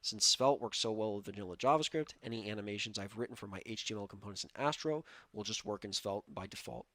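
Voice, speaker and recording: A man giving a clear, upbeat, scripted recorded conference talk. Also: on the low side, fast talker, pushed and bright, dry recording. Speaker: conference speaker. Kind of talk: prerecorded tech talk.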